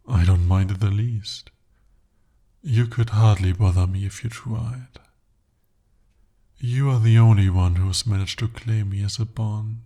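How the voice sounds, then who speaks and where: A man's voice: lowish voice, dark tone. A man voicing a devoted, possessive male demon in an ASMR roleplay, speaking formally and intimately. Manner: formal, intimate, slow-spoken address.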